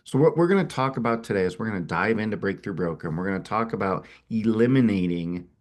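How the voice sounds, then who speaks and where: A middle-aged man with a cold giving an energetic webinar sales presentation as a company founder, often repeating words as he restarts. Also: on the low side, fast. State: has a cold, sniffling.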